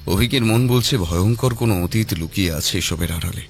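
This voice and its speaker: A man narrating a scripted horror story dramatically, with suspenseful, measured phrasing. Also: low-pitched, a little hiss or hum. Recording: loud music behind.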